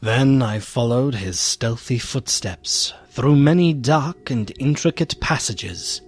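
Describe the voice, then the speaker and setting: An actor playing a melancholy, fearful gentleman who narrates a gothic radio drama in a solemn, literary, theatrical manner. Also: medium pitch, slow, slight background noise. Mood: foreboding.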